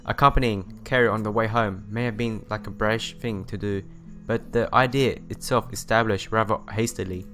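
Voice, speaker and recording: A man with a fairly low voice reads a visual novel's narration aloud for a let's play. He tells it as a story, now and then tripping on the text, over background music. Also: steady tone, moderate pace, hardly any pauses, a bit bright. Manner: stumbles over text and rereads phrases. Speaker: let's player.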